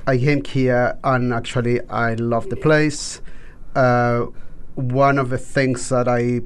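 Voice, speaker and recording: A man's voice: medium pitch, flat delivery, slow, slight background noise. Man, chatting. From Chile. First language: Spanish. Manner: rambling, with many restarts and repeated words. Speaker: guest. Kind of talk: casual interview chat.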